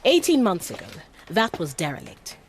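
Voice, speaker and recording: A woman's voice: lowish voice, huge pitch swings, normal speed, slight background noise. An actress playing a self-assured British female con artist in a radio drama, poised, persuasive and businesslike. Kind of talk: naturalistic radio drama acting.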